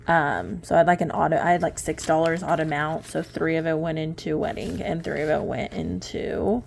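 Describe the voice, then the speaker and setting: A woman's voice: deep voice, fairly even intonation, a little fast, vocal fry, darkish tone, slight background noise. A woman in her late twenties chatting casually while sorting cash into budget envelopes, rambling and correcting herself with small laughs. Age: late 20s. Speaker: budgeting vlogger.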